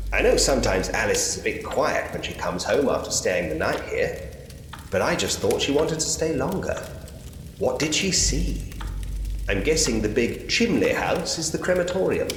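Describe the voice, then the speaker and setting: Casting: inquisitive Victorian ghost-story investigator for an adventure game, theatrical and articulate, with swooping intonation, in an echoing space. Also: male voice, mid-range voice, very animated, a little slow. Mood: troubled, resolute. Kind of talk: scripted point-and-click game voice acting, talking to himself. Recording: roomy, long reverb, noisy ambient bed.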